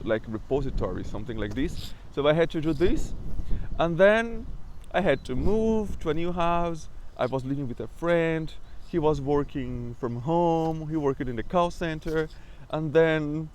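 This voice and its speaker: A man's voice: on the high side, moderate pace, darkish tone, a little hiss or hum. A young man with a Brazilian accent, talking casually in a personal vlog and stuttering with repetitions and restarts. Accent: Brazilian Portuguese. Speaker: vlogger.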